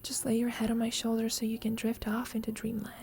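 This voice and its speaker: A woman playing a teasing, affectionate young roommate with a secret crush in an ASMR roleplay, speaking softly and breathily, often in a whisper, with playful, tender gentleness. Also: on the high side, completely flat delivery, quick pace, vocal fry. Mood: soft, cozy. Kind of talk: ASMR roleplay, intimate sleep-aid audio.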